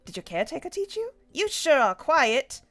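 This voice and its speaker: Casting: woman acts chatty animal youngster for comic reading, high and very animated, bluntly inquisitive. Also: normal speed, faint music behind. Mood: excited curious. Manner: blunt, eager questioning.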